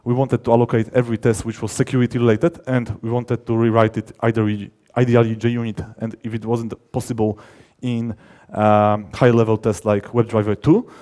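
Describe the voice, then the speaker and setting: Mellow-voiced man giving a talk. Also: medium pitch, little pitch movement. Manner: emphatic, halting, often rephrasing mid-sentence. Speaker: conference speaker, software developer. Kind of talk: technical conference presentation, informal.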